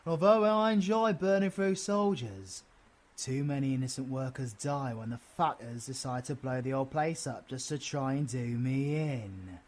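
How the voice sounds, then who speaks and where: A man's voice: mid-range voice, normal speed, lightly acted. A man with a British accent playing a cocky, crude, wisecracking supervillain in an audio roleplay, full of cheeky, sweary banter. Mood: scheming, confident.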